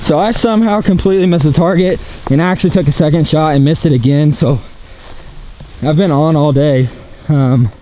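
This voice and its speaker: A man's voice: a little high, moderate pace, poor audio. A young man chatting casually as he films an archery event outdoors on a rainy mountain, upbeat and self-deprecating. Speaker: vlogger filming an archery event. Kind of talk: casual vlog narration. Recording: outdoor camera audio with loud background noise.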